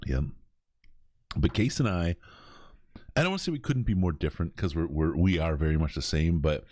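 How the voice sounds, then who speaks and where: Very deep-voiced rambling Michigan man. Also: expressive, a little fast, a little mellow, no echo, studio quality. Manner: rambling, restarts, swears casually. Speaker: podcast host. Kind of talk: casual charity stream chat.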